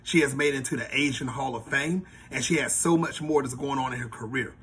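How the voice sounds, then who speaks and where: A man's voice: mid-range voice, brisk, a bit bright, husky. A man hosting a podcast interview, talking in a loose, slangy, hype-host way.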